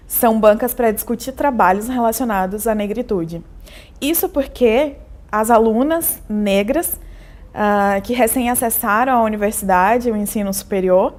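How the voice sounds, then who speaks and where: A woman journalist and university lecturer giving a measured, earnest interview testimony, often tagging her phrases with a questioning "né?". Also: a little high, darkish tone.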